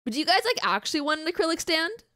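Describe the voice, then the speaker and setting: A woman's voice: extremely high pitch, big pitch swings, racing, sharp tone, no room sound, clean studio sound. A woman livestreamer chatting casually and playfully with her live audience in an informal, mildly sweary way. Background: background music.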